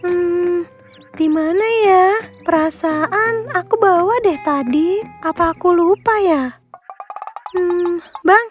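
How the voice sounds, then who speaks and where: A woman's voice: very high-pitched, takes it slowly, faint music behind. A woman voicing a sweet young female customer in a cartoon, with a polite, girlish manner. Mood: flustered.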